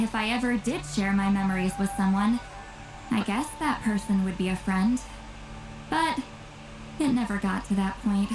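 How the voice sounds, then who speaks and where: A woman's voice: medium pitch, lightly acted. A woman voicing an aloof, reclusive, youthful but centuries-old shaman in a video game, dry, reserved and wistful. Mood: resigned. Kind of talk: scripted video game voice acting. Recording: roomy echo, game music behind.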